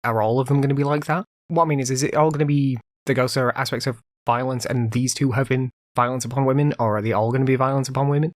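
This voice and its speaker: A man's voice: medium pitch, steady tone, fast talker, hardly any pauses, no room sound, clean studio sound. A man hosting a casual solo podcast, rambling conversationally with lots of fillers, still recovering from an illness. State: recovering from illness, voice occasionally cutting out. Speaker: podcast host.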